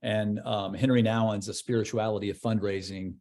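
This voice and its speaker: A man's voice: on the low side, steady tone, brisk, darkish tone. A man in his fifties who is a guest in an interview. He speaks thoughtfully and casually, often searching for words and trailing off. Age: 50s. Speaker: church leader guest.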